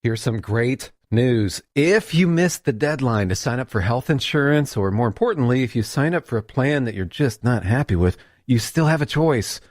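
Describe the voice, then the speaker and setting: A man's voice: mid-range voice, animated, moderate pace, hardly any pauses, no room sound, studio quality. A man delivering a scripted radio advertisement in a conversational, direct-address style. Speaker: advertisement announcer.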